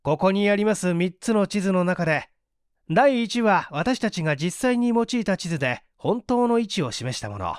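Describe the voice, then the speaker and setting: A man's voice: sits high, big pitch swings, normal speed, smooth, dry recording, studio quality. A man reading the part of an assured detective scientist in an audiobook, patiently explaining his deductions in an articulate, didactic way. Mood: patient, instructive.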